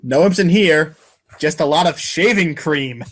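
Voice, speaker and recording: Guy narrating a comic out loud, higher-ish voice, playful and theatrical. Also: measured pace. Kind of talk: playful dramatic reading of a webcomic.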